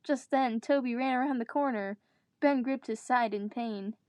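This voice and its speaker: High-voiced woman reading fan fiction aloud. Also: moderate pace, a little mellow, dry recording. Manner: breaks character to groan and joke. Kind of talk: dramatic story reading with amused asides.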